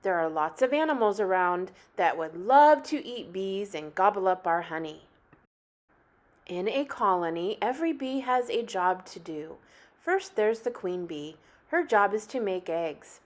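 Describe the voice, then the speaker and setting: A woman reading a picture book aloud like a teacher, with animated, deliberate phrasing meant for young children. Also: mid-range voice. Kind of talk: expressive children's story read-aloud.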